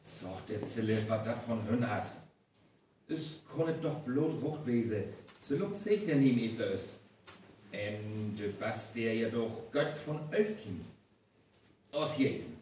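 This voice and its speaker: Man as earnest boyfriend in a radio play, mid pitch, echoey hall, far from mic, bit of noise. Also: expressive, takes it slowly, darkish tone. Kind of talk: live stage radio play acting in North Frisian. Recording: very echoey hall, distant, noisy live recording.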